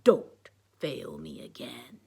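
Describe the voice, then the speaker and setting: An imperious little villain puppet from a children's book, put on by a woman reader. The delivery is menacing and pompous. Words come slowly and clipped, like orders. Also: a bass voice, wildly swooping pitch, gravelly, dark tone, husky, voice pushed down, heavily acted. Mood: imperious menace.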